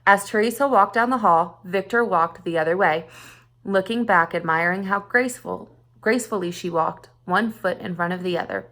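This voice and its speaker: A woman reads a story aloud in the manner of a schoolteacher. Her voice sits at an everyday middle pitch, and she gives key words expressive emphasis as she narrates for young listeners. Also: moderate pace. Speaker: teacher reading a story aloud. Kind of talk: read-aloud narration of a children's short story.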